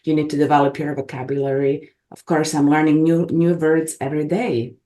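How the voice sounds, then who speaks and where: A middle-aged woman from Bosnia and Herzegovina, a gentle, reflective guest speaking English as an over a video call. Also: low-pitched, level tone, fairly quick. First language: Bosnian and Croatian. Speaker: regression facilitator, guest. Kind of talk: casual interview chat. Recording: echoey room.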